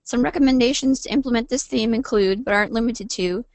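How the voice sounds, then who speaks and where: Higher-voiced woman presenting a webinar. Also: fast, creaky, darkish tone, no echo. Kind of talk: scripted informational presentation.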